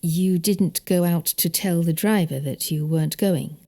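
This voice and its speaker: A woman voicing a polite, reserved male investigator with a British accent, measured and questioning, in an audiobook. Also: fairly low pitch, dark tone. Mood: probing. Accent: British English. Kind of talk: audiobook character dialogue.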